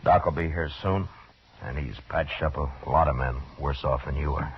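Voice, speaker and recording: An actor playing a calm, dry, authoritative US marshal in a 1950s-style radio western. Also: male voice, rumbling low, normal speed. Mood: calm, concerned. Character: steady, wry US marshal of a frontier town. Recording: dull, noisy old radio recording.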